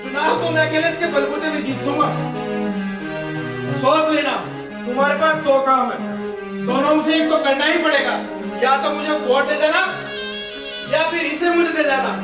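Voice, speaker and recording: A man's voice: very high voice, fairly even intonation, moderate pace, pauses often. An actor playing a menacing, power-hungry village strongman politician on stage, with a domineering, rhetorical way of talking. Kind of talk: projected stage acting. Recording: distant echoey theatre hall with music.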